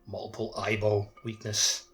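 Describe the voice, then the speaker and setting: A man's voice: lowish voice, monotone, a little slow, fairly bright tone, faint music behind. A man chatting casually about a video game as a podcast co-host, with background noise and clicks.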